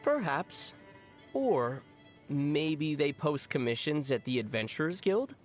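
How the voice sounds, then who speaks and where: An actor voicing a calm, teasing young adventurer in a video game, with a dry, knowing manner. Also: mid-range voice, slow pace, over music. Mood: wry. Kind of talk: video game character voice acting.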